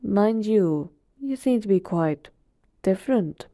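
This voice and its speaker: A woman's voice: medium pitch, animated, slow, dark tone, no echo, clean studio sound. A woman voicing an ancient, all-powerful genie who talks casually and teasingly to a master in a roleplay monologue. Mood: reflective. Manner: casual, teasing, conversational asides. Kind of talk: in-character roleplay monologue to the listener.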